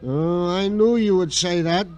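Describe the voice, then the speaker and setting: An actor playing a flustered, earnest, simple-hearted police sergeant in an old radio drama, stammering and pleading in naively formal words. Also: male voice, sits high, unhurried, a little mellow. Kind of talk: 1950s radio drama acting.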